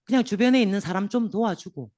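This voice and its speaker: A man with a hoarse, sore throat lecturing a room of business owners, joking and asking the audience questions as he goes. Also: sits high, quick pace, no echo, studio quality. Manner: lively, jokes with the audience, asks questions. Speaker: seminar lecturer.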